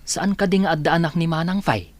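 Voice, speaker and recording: A man's voice: on the high side, fast talker, dry recording, natural voice. A man playing a deferential, kindly and scheming household servant in an Ilocano radio comedy-drama. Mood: earnest, persuasive.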